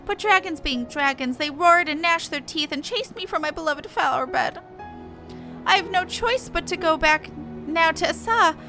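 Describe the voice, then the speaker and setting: A woman voicing a fretful, fussy little moogle gardener from a video game, plaintive and aggrieved. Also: very high voice. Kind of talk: reading game dialogue in character.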